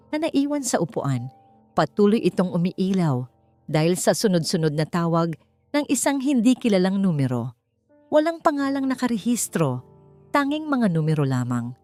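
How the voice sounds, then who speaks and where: A woman's voice: on the low side, a little mellow, dry recording. A woman narrator reading a scripted dramatic story in a storytelling style. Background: music.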